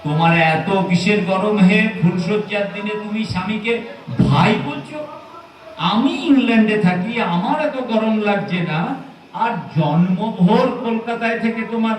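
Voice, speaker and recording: A man's voice: high-pitched, normal speed, lightly acted. A man acting an irritable, indignant bridegroom in a comedy audio play, scolding in Bengali with touches of East Bengali dialect. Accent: Bengali, with East Bengali dialect at times. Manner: exasperated, scolding, theatrical rhetorical questions. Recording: echoey room, distant, faint noise.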